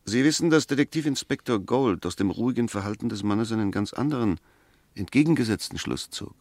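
An eloquent defence advocate in a 1960s German radio drama. His voice is on the low side and recorded very dry. He argues insistently, with precise diction, emphatic stresses and scornful rhetorical questions. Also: lively intonation, fairly quick, darkish tone, no echo.

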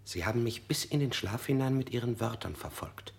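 A man's voice: medium pitch, lively intonation, a little fast, gravelly, noisy background. An actor playing a frightened man under interrogation in a radio drama, who keeps correcting himself and sometimes drops to a whisper. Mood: confused.

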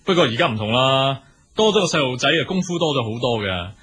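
An actor playing an easygoing, modest young father who shares the housework, in a raspy voice in a Cantonese radio drama. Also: medium pitch, lively intonation, quick pace, fairly bright tone. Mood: earnest. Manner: agreeable, chuckling, modest.

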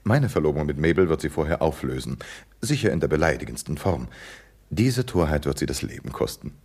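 A flippant young dandy speaks with airy, mocking ease in a radio play. His voice is very low and husky, with lively swings in pitch. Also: brisk, full character voice. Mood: coolly sinister. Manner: airy, flippant, coolly sinister. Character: idle, dandyish young man, flippant and sly.

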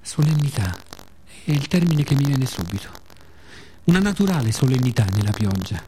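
A man performing a poetic, theatrical radio monologue in a measured, solemn manner with dramatic pauses. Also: medium pitch, big pitch swings, dark tone, husky. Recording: faint ambient background noise.

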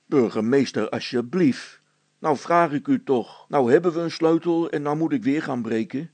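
A man's voice: a little high, normal speed, darkish tone, dry recording, voice pushed up, close to an everyday voice. A man voicing a brusque, practical father in a children's story, blunt, emphatic and easily exasperated.

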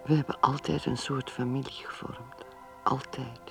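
An actress playing a wistful, wounded middle-aged mother in a radio drama monologue, half-whispered and breathy. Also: a bass voice, steady tone, normal speed. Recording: radio play with music underneath.

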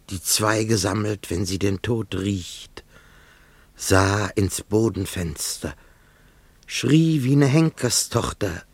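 An actor playing a solemn, haunted scissors grinder who survived a massacre, in a poetic radio play with a halting, incantatory delivery. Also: male voice, lowish voice, slightly rough, no echo, exaggerated voice. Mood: haunted, intense.

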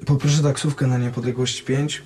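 A man's voice: mid-range voice, steady tone, a little slow, darkish tone, slight background noise, lightly acted. An actor in a radio drama playing a callous man with a curt, commanding way of talking. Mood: alarmed, curt. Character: curt, callous man brushing off a young woman.

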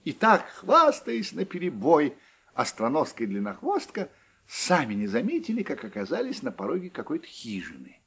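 Husky, animated male storyteller. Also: fairly high pitch, normal speed. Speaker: narrator. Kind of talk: scripted storybook narration.

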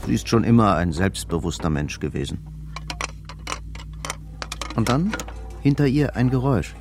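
An actor as the grave narrator of a crime thriller radio drama, telling it in short, scripted present-tense sentences. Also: male voice, on the low side, sing-song, moderate pace, noisy background. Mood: tense, restrained. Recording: music behind the voice.

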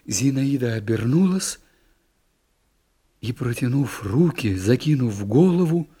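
A man narrating classic prose in an audiobook, dramatic and expressive, swinging between raised passages and hushed, near-whispered ones. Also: mid-range voice, breathy edge. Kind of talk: classic literary audiobook reading.

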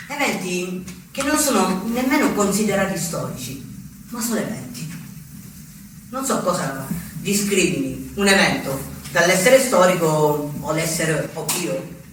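An actor playing a reflective narrator in a deliberate theatrical monologue, repeating words between dramatic pauses. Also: male voice, sits high, very animated. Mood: reflective, measured. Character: reflective male narrator born in the late seventies. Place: theatre stage, recorded from a distance. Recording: echoey, distant stage recording with faint noise.

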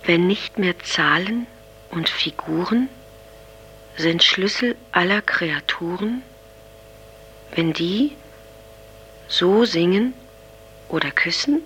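A dreamy, troubled soul recites verse very slowly in a low voice. The halting phrases come from an old tape with a faint hum. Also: female voice, animated, fairly bright tone, poor audio. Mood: dreamy, solemn. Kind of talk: slow poetry recitation in an audio drama.

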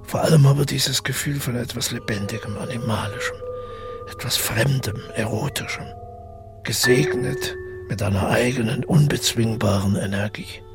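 An actor playing a withdrawn, middle-aged widower who tells his story in a radio drama, husky and gravelly, reflective and measured. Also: medium pitch, music in the background, lightly acted. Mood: pensive. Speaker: narrator and protagonist. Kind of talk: literary radio drama monologue.

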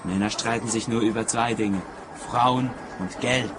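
An actor in a radio play playing a laconic, unambitious small-time machine tinkerer, terse and matter-of-fact. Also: male voice, mid-range voice, steady tone, a little slow, close to an everyday voice. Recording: clear outdoor background noise.

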